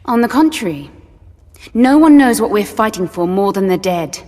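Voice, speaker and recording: A woman playing a resolute, weary soldier in an audio drama, with a measured, guarded manner. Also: mid-range voice, sing-song, a little mellow, big-room sound, natural voice.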